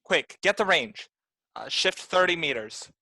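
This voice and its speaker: A woman's voice: low-pitched, animated, a little slow, sharp tone, exaggerated voice, low-quality recording. A woman playing a stern artillery officer in a radio play, calling out clipped, firm commands. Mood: urgent, commanding. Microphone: video call.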